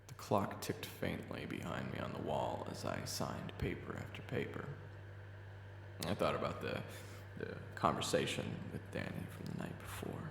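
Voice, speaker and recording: A man acts out a reformed criminal turned protective family man in an audio drama. His voice is low and creaky, swinging widely in pitch. He sounds weary and halting, in both narration and dialogue. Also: big pitch swings, a bit bright, slight background noise, heavily acted. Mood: brooding. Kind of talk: audio roleplay acting with first-person narration.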